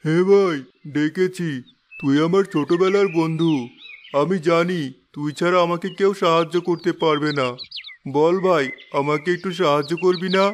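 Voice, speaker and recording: A man voicing a humble, trusting blind village father in an animated moral story, speaking earnestly. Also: on the high side, moderate pace, a little hiss or hum, natural voice. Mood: earnest pleading.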